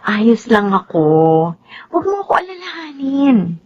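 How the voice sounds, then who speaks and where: Casting: soft, caring young Filipino wife and mother, highish voice, slightly dull radio drama recording. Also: very animated, normal speed. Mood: tender, reassuring. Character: gentle, self-effacing young soldier's wife and mother. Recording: slightly dull, faint ambient noise.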